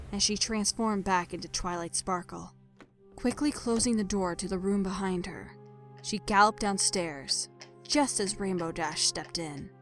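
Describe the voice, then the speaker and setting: A woman reading a scripted dramatic story as narrator, measured and expressive in her delivery. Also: mid-range voice.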